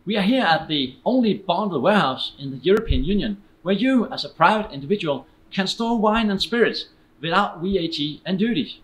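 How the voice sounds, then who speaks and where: A man's voice: sits high, animated, brisk, fairly bright tone, poor audio. A man presents in English as a guide would. He explains things directly to the viewer in a scripted promotional style. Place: large indoor warehouse, walking.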